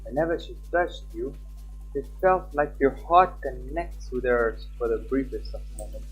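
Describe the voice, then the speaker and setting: A man's voice: medium pitch, moderate pace, husky. A young man live-streaming a game, chatting casually and reading on-screen text aloud. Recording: dull lo-fi mic with background music. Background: game music.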